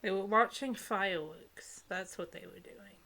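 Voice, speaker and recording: A woman's voice: mid-range voice, normal speed, noisy background. A young woman co-hosting a casual comedic read-aloud, breaking off for giggly, incredulous asides. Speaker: co-host reading book excerpts aloud.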